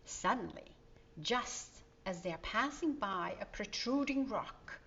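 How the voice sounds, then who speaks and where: A woman storyteller reading a children's story aloud in an expressive way, with dramatic pauses and asides to young listeners. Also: mid-range voice, gravelly, lo-fi sound.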